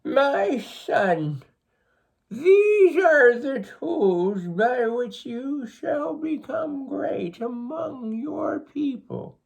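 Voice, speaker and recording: A storybook reader acts out a solemn old grandfather from a dream vision. He speaks extremely slowly and grandly, with the pitch lifted high. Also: very animated, creaky, a little mellow, full character voice, low-quality recording. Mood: solemn, prophetic. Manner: very slow, drawn-out, grand.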